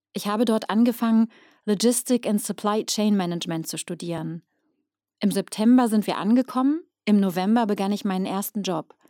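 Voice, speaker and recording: Female voice-over narrator, soft warm timbre, composed first-person testimonial read, very dry sound. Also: mid-range voice, no room sound.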